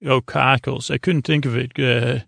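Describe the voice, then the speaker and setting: A man with a gravelly voice hosting a bedtime podcast, meandering haltingly and stumbling over his words as he wanders off on tangents. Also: mid-range voice, fairly even intonation, a bit bright, no echo, studio quality. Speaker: podcast host. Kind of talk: rambling bedtime podcast monologue.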